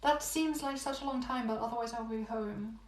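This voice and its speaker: A young woman chatting casually with livestream viewers, rambling and repeating herself with little laughs, her voice going hoarse. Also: a little high, moderate pace. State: losing her voice. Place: home room. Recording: echoey room, phone livestream.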